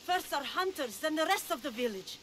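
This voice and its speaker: A woman's voice: high-pitched, expressive, brisk, fairly bright tone, slight background noise. An actress playing a fierce, blunt woman hunter in a video game, speaking with urgency. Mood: grave, urgent. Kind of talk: video game cutscene acting.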